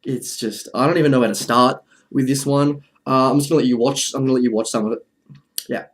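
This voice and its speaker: A man's voice: mid-range voice, fairly even intonation, quick pace, slight background noise. Dryly joking man hosting a video essay. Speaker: video host. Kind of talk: casual video essay commentary.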